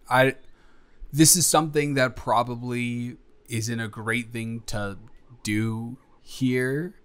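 Guy acting as a nervous young man sweet on his best friend, rambly and stammering, chatty audio roleplay, medium pitch. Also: clean tone. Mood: hesitant, tender. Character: nervous, devoted young male friend about to confess love. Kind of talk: improvised intimate audio roleplay, conversational.

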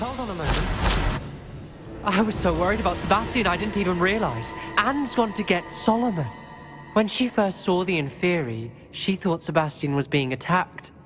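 A man playing a worried, reproachful teenage schoolboy wizard in a video game. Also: high voice. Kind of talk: video game dialogue acting.

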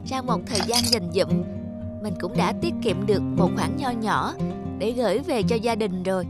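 A woman's voice: mid-range voice, normal speed, close to an everyday voice. A woman voicing a polite, earnest, hardworking poor servant boy in a children's cartoon. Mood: happy, relieved. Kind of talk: dubbed children's cartoon dialogue. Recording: loud music behind the voice.

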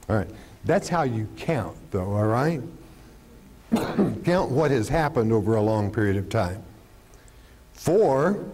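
Older man pastor on a lapel mic, warm, low-key tone, animated Bible teacher. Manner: animated, gestures constantly while teaching; poses rhetorical questions and answers them. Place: studio stage with podium and curtain.

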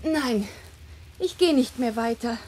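A woman in a German radio play, playing a gentle, lonely young fairy-tale princess who speaks simply and earnestly. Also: a little high, expressive, takes it slowly, slight background noise. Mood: weary.

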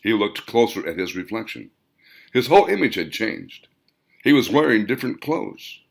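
A man reading a story aloud as dramatic, theatrical audiobook narration, with deliberate delivery. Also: lowish voice, sing-song, gravelly.

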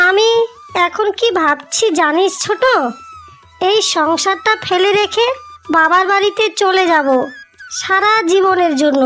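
A woman plays a young village wife in a cartoon, extremely high and childlike in pitch. She speaks slowly and pleadingly, earnest and full of sorrow, in a bright tone. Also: heavily acted. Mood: sorrowful, resigned. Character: two young married village sisters, earnest and tearful. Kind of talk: cartoon story voice acting.

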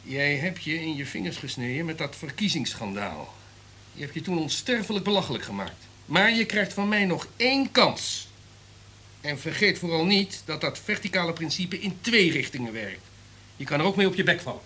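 Sharp, imperious radio-drama boss. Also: male voice, fairly high pitch, big pitch swings, normal speed, slight background noise. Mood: angry, stern. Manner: domineering, lecturing, emphatic. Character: imperious, irritable top network executive, a man.

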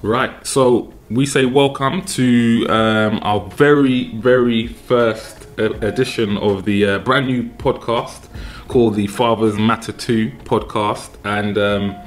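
A man in his forties from London, hosting a casual podcast interview from his home kitchen on a desk mic, halting with many ums and repeated words. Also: fairly low pitch, fairly even intonation. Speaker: podcast host. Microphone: desk mic on a boom arm.

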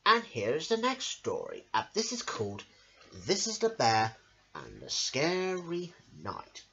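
A young adult reading children's picture books aloud in an emphatic, deliberate way. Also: medium pitch, wildly swooping pitch, pushed and bright, a little hiss or hum. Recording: dull lo-fi camera sound.

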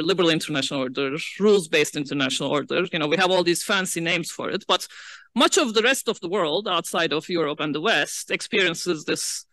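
A woman from Turkey giving academic remarks on a conference panel, speaking in a measured way with many "uh" fillers and the occasional soft laugh. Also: on the low side, no room sound. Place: large lecture hall.

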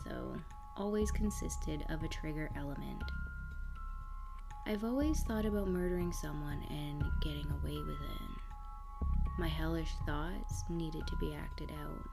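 A woman giving a slow dramatic horror narration as a quiet, vengeful bullied loner, hushed and half-whispered, with menace. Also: sits low, creaky. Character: quiet, vengeful bullied man confessing his nightmare. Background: music under the voice.

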